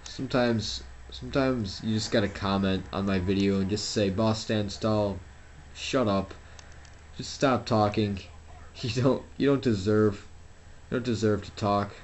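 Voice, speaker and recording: A man's voice: on the low side, steady tone, unhurried, a little hiss or hum. A young man commentating a wrestling video game at home, rambling and self-deprecating, with lots of fillers and restarts. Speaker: gameplay commentator. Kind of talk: casual solo game commentary.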